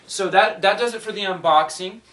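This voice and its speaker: A man's voice: high-pitched, normal speed, bright, forceful tone. A man giving a casual unboxing review, thinking aloud in a conversational way. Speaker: product reviewer. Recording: distant mic, faint noise, clicks.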